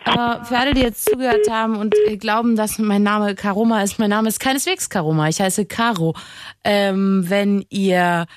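A woman's voice: mid-range voice, moderate pace, dry recording, studio quality. A woman hosting a radio call-in show, chatting casually with a playful, teasing, informal manner. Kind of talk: casual late-night phone-in chat.

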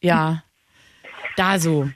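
A woman's voice: sits low, steady tone, slight background noise. A woman from Saxony hosting a call-in radio show, teasing and bantering with a caller. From Saxony, Germany. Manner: teasing, quick-witted, bantering.

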